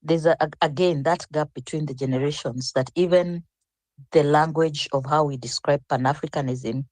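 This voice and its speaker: A woman's voice: deep voice, fairly even intonation, normal speed, darkish tone, dry recording. An older woman speaking as a panelist in an online discussion, reflective and rambling with frequent restarts.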